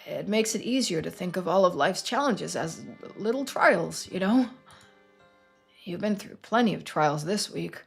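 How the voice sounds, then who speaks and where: A woman's voice: fairly low pitch, lively intonation, a little slow, gravelly, slightly rough, soft background music, full character voice. Woman playing an earnest, devout small-town pastor. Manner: hesitant, earnest, preachy.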